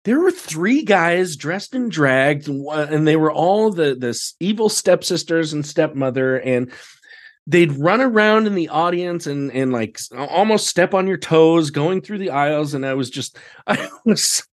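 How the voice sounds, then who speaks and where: An American man chatting casually as a podcast guest, telling things in an animated, anecdotal way. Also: fairly high pitch, unhurried. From United States. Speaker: podcast guest, theatre director.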